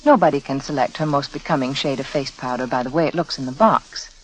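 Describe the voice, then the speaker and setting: A woman's voice: very deep voice, fast talker, low-quality recording. A woman delivering a scripted 1940s radio beauty advertisement in a confiding, persuasive manner. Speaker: sponsor spokeswoman, beauty adviser. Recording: vintage 1940s radio broadcast recording.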